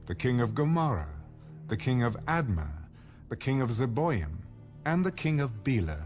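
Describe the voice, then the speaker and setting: A man giving a scripted narration of scripture in a measured, formal way. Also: medium pitch, big pitch swings, darkish tone, faint music behind. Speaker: audio Bible narrator.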